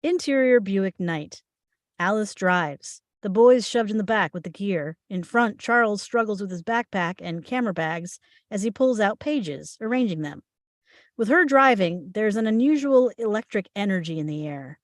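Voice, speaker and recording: A woman gives scripted narration of screen directions at a table read. Her pitch sits in the middle range, and the sound is dry with little room echo. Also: lively intonation, normal speed. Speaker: stage direction reader.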